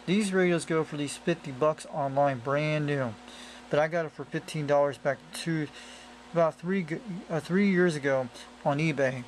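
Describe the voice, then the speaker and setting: A man giving a casual hands-on demonstration as a hobbyist, explaining in a rambling, repetitive way on a noisy amateur camera recording. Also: fairly high pitch. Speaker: radio hobbyist presenter.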